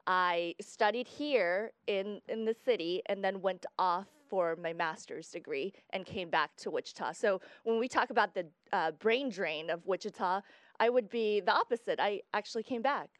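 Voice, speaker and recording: Young woman guest from Guatemala, mid-pitched, chatty, dry close sound. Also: normal speed, no echo. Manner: chatty, explains at length with fillers. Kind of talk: casual interview chat.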